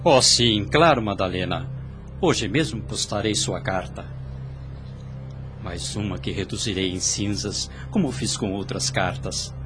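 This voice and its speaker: A scheming false friend with a lowish voice and Brazilian accent, played by a man. He speaks in formal, melodramatic radio soap style, all smooth solicitude, with music clearly behind him. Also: unhurried, close to an everyday voice. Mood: obliging, then scheming, impatient. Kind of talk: radio soap opera acting.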